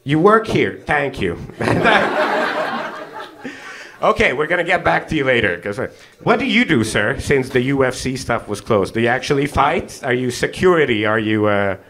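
A man's voice: mid-range voice, big pitch swings, fairly bright tone. A young man doing stand-up crowd work on a comedy club stage, teasing the audience into a handheld mic. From half Hungarian, half South African. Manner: teasing, quick banter with audience, frequent laughs. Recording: live club with audience laughter.

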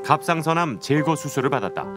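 A man's voice: fairly high pitch, animated, quick pace, loud music behind the voice. A man reading scripted narration for an investigative programme as its narrator.

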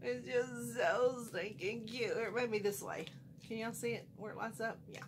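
A middle-aged woman, chatting through a home haul vlog, folksy and animated, a little nasal from a cold, filmed in a small room. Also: fairly high pitch, moderate pace, noisy background. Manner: folksy, animated, chatty, wanders off mid-sentence. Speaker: haul vlogger.

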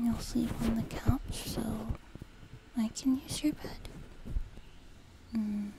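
A woman voicing a sweet, doting young demon girl in a soft, breathy ASMR whisper, gently teasing and intimate, recorded close on a binaural mic. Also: on the high side. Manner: slow, tender, intimate. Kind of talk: whispered personal-attention ASMR roleplay. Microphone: close binaural microphone. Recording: close binaural mic with noticeable background noise.

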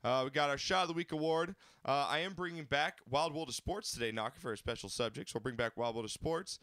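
A man in his thirties hosting a sports talk show in a small home studio, loud and excitable, a bit stuffed up from a cold. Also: on the high side, fast talker, dry recording. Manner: loud, excitable, stretches words. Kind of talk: loud casual sports radio banter. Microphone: studio mic on boom arm, headphones.

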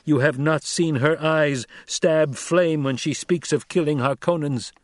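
A man voicing a young nobleman in an audiobook, a fiercely loyal son who speaks with deliberate, commanding intensity and deadly control. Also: on the high side, steady tone, no room sound, studio quality, pitched above the performer's own voice, close to an everyday voice. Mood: reproachful, intense. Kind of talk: audiobook character acting.

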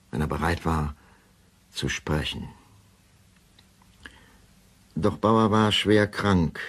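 An actor playing a grave, obsessive doctor confessing in a brooding, deliberate radio drama monologue. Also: male voice, sits low, husky, exaggerated voice. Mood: defensive.

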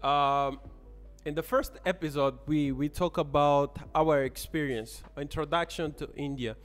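A man's voice: medium pitch, big-room sound. A young man hosting a casual podcast in a café, laughing often as he talks into a studio mic. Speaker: podcast host. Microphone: studio mic on boom arm.

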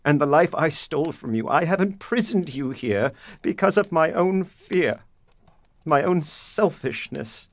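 An actor playing an anguished, guilt-ridden, stern father in a period audio drama, speaking gravely and deliberately. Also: fairly high pitch, lively intonation, dry recording. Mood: anguished, self-blaming.

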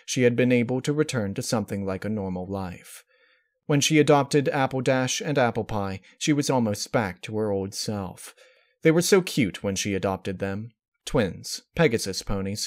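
A man reading scripted fan fiction as its narrator. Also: mid-range voice.

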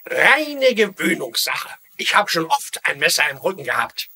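An actor playing an emotional, self-pitying wounded father in a dubbed TV mystery, with a melodramatic, halting delivery. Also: on the high side, sing-song, creaky, pushed and bright, slightly rough, full character voice. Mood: wry, suffering. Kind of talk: dubbed TV mystery drama acting.

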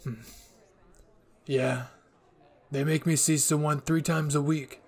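Man acting a weary, husky young anime villain. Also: fairly high pitch, steady tone. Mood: calm, sheepish. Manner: halting, weak, pleading. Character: wounded, remorseful young male villain, weary and soft. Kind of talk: anime ASMR roleplay acting.

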